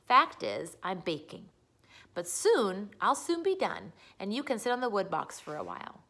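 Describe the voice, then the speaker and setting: A woman's voice: mid-range voice, sing-song, moderate pace, creaky, a bit bright, full character voice. A bold, carefree little girl from a children's storybook , acted out by a woman reading aloud. She speaks in an emphatic, breezy way. Everything she says comes out matter-of-fact and cheeky.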